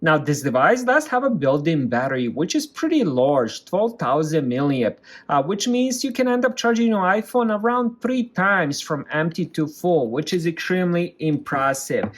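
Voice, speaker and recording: A man's voice: on the high side, lively intonation, normal speed, few pauses. A man giving a casual hands-on product review, explaining things plainly step by step.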